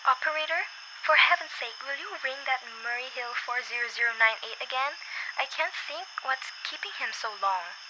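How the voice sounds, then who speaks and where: An anxious, self-pitying invalid wife in an amateur radio play acted by a young woman. Her voice sits high and she presses her demands fretfully. The recording has clear background noise. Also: expressive, moderate pace. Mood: exasperated. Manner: fretful, insistent. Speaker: student voice actor in a radio play. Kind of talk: amateur radio drama acting.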